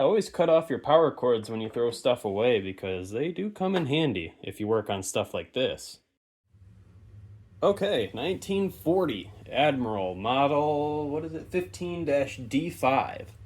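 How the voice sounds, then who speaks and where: A man's voice: medium pitch, darkish tone. A man casually narrating a radio repair unscripted, thinking aloud in an offhand way in a basement. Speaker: hobbyist radio repairer and presenter. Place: stone-lined basement.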